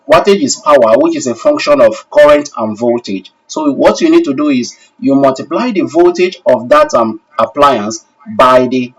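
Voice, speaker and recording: A man's voice: mid-range voice, far from the mic, rough recording. A man instructing a live online class and taking questions, courteous and formal, repeating phrases for emphasis. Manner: courteous, addresses learners formally as sir. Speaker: instructor of an online technical course.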